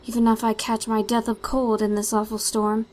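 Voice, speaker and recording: A woman's voice: fairly high pitch, completely flat delivery, normal speed, a little mellow, slight background noise, lightly acted. A woman playing a fussy, put-upon lady of the household in a melodramatic amateur audio drama. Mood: indignant, relieved.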